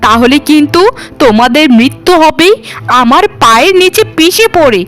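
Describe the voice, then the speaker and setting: A woman's voice: sits high, lively intonation, moderate pace, a bit bright, soft background music. A woman voicing a wronged, firm and defiant young daughter-in-law in a cartoon. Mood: threatening. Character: wronged young daughter-in-law turned bold, threatening giantess. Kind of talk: cartoon voice acting.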